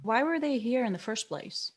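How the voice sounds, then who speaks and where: A woman acting a police detective in a screenplay table read, questioning in a tentative, halting way. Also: a little high, expressive. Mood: probing.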